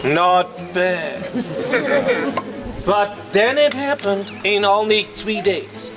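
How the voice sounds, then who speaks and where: A man giving interview answers as a local guide, explaining slowly. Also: high-pitched, big pitch swings, a crowd in the background. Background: music.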